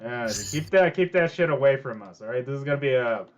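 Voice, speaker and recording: A man's voice: mid-range voice, animated, fast talker, a crowd in the background. A man hosting a casual livestream, chatting in a rambling way and often repeating himself. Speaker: stream host. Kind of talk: casual chat while building on a livestream.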